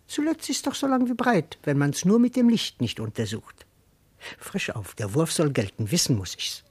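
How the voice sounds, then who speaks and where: Ein Schauspieler spielt in einem klassischen Vershörspiel einen frechen, feigen Diener, der sich komisch und wortreich herauswindet. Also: male voice, on the high side, big pitch swings, normal speed, husky. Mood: sly, nervous. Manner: wheedling, blustering, comically theatrical. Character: cheeky, evasive male servant and husband, comic and cowardly. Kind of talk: classical German verse comedy radio acting.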